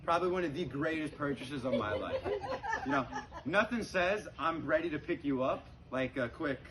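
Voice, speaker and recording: Man doing stand-up, higher-pitched voice, noisy recording. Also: expressive, moderate pace. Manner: conversational, frequent "you know", acts out dialogue. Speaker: stand-up comedian. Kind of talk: stand-up comedy storytelling to a live audience. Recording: noisy live recording with room ambience. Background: audience laughter.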